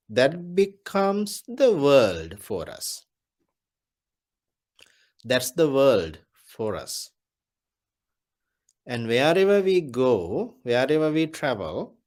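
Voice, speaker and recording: A Buddhist monk giving a Dhamma talk, speaking deliberately and repeating his key phrases. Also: mid-range voice, darkish tone.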